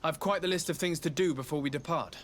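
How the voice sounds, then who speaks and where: An actor playing a polite, composed young nobleman in a video game, speaking formally with a gentle teasing edge. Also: a little high, quick pace, slight background noise, close to an everyday voice. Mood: courteous. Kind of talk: video game dialogue acting.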